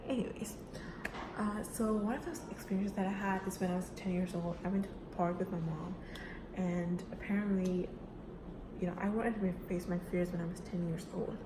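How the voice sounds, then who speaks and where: Young woman, low-pitched voice, chatty and casual, echoey room, noisy background. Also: fairly even intonation, moderate pace. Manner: casual, chatty, with frequent fillers like "right?" and "you know". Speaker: student giving a class speech. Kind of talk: personal self-introduction speech.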